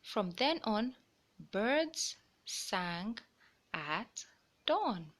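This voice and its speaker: A woman hosting a children's storybook read-aloud, explaining things simply and deliberately for young listeners. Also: medium pitch, very animated.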